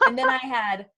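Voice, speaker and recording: A middle-aged woman, telling personal stories as an interview guest in an animated, informal way. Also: high-pitched. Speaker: interview guest, author and podcaster. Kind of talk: casual conversational storytelling.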